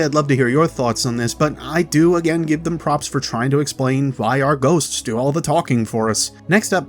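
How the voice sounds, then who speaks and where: A man hosting a video, giving chatty, explanatory commentary as a voice-over about game lore. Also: mid-range voice. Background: music.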